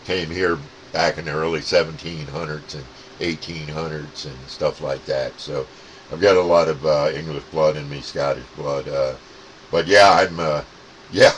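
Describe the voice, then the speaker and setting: Very deep-voiced American man on CB radio. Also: fairly even intonation, moderate pace, fairly bright tone, slight background noise, low-quality recording. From upstate New York, USA. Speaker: amateur CB radio operator, channel co-host. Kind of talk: casual radio contact chat.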